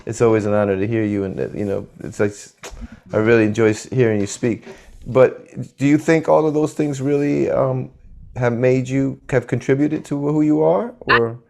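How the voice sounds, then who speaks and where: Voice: mid-range voice, normal speed, creaky, darkish tone. An interviewer asking a long rambling question, stacking clauses and restarts.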